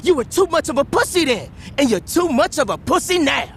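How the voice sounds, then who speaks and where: A cocky young gangster in a video game cutscene. His voice sits very high and swings about lively, and he hurls defiant insults, with a faint rumble underneath. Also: brisk, heavily acted. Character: brash young male gang lieutenant.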